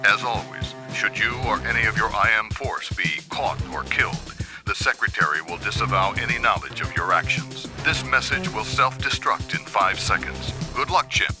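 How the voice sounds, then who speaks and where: Actor as low-voiced formal agency briefing man. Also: animated, music in the background, natural voice. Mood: formal, measured. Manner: formal, measured. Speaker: mission briefing voice. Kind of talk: scripted mission briefing.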